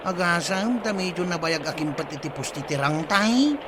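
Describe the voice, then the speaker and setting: An eager, deferential village official played by a man with an Ilocano accent, in a comedy drama. The location recording is clearly noisy around his voice. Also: high voice, expressive, normal speed. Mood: respectful. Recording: noisy outdoor location sound.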